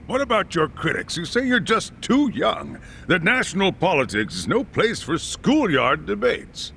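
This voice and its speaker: An actor playing a probing, skeptical political interviewer who puts pointed questions in a game's broadcast scene. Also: male voice, high-pitched, big pitch swings, moderate pace, creaky, pushed and bright, a little hiss or hum, heavily acted. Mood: challenging, pointed. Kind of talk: broadcast interview in a game cutscene.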